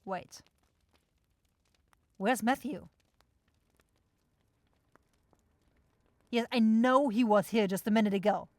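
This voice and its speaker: A woman's voice: a little high, unhurried, a little mellow, no echo, lightly acted. Casting: woman voicing a demon woman supervisor, brusque, exasperated, sarcastic; fantasy roleplay, tight dry recording. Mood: weary, bossy. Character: bossy, grumbling demon woman shopkeeper, sweary supervisor. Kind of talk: fantasy audio roleplay acting.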